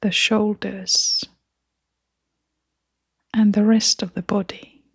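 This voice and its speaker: A woman leading a scripted guided meditation in a soft, breathy, near-whispering voice, gentle and soothing, with long pauses. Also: mid-range voice, little pitch movement, no room sound, clean studio sound.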